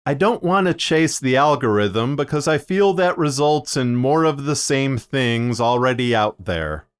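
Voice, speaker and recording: Clear-voiced man narrating with measured phrasing. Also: mid-range voice. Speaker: podcaster and fiction narrator presenting a video series. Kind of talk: scripted video narration.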